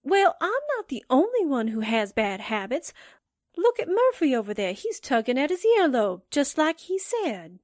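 A woman performs an eager girl-reporter sidekick from a 1940s radio mystery. The voice is high and bounces around a lot, excitable and slangy, in a dry recording. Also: normal speed, no echo. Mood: sheepish. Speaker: actor in an amateur radio drama re-creation.

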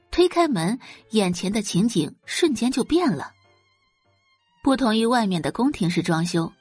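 A woman narrating a dramatic audio novel in an expressive storytelling manner. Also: medium pitch, husky, dry recording. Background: faint background music.

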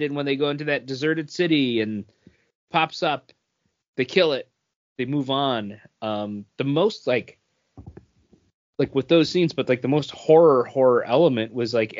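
A man's voice: medium pitch, fairly bright tone, no echo. Dry, dull-sounding male podcast co-host. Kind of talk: casual comics and film chat.

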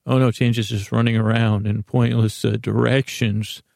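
A middle-aged man hosting a podcast with a silly, halting monologue that meanders and repeats itself as it drifts into tangents. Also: medium pitch, little pitch movement, vocal fry, a little mellow, no room sound, clean studio sound. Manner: silly, whimsical. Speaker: podcast host. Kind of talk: rambling comedic monologue.

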